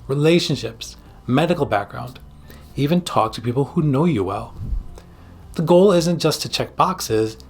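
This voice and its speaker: A man with a mid-pitched voice delivers a scripted educational explainer in the role of an expert host. He addresses the listener directly and puts clear emphasis on his points. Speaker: psychiatrist and video host.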